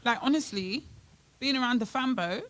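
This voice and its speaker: A woman's voice: on the high side, normal speed, fairly bright tone, rough recording. A woman co-hosting a morning radio show, telling travel stories in casual chat with dry asides and frequent laughter, with a British accent. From Bahamas. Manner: chatty storyteller, laughs often, dry asides. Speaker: radio co-host.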